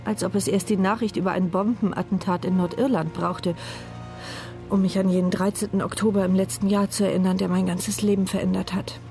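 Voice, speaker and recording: Woman acting, radio drama narrator, inner monologue, bitter, sardonic, reflective, medium pitch, faint music. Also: little pitch movement, brisk, creaky, dark tone, natural voice. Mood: grave, haunted. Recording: studio radio play with music underneath.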